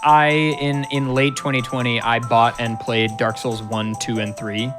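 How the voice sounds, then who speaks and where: A man, a speedrunner, chatting casually about games and calmly explaining setup steps. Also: medium pitch, unhurried. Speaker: speedrunner guiding a challenge. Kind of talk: casual gaming chat. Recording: music playing behind.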